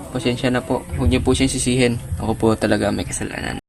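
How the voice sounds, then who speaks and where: A man's voice: medium pitch, steady tone, fast talker, gravelly, lightly acted. A man playing a polite, apologetic teenage boyfriend in an amateur radio drama.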